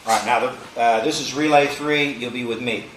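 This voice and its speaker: Gruff American drill instructor, echoey recording. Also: male voice, mid-range voice, steady tone, moderate pace, fairly bright tone. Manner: commanding, gruff, informal and salty. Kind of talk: roll call and team assignments. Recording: echoey space with faint background noise.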